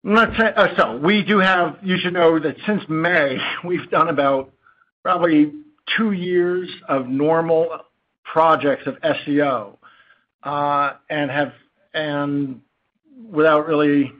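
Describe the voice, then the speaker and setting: A man with a fairly high voice talks informally as a chief executive on an earnings call. He is halting and often wanders off on tangents. The audio is dull and lo-fi. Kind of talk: unscripted earnings call presentation.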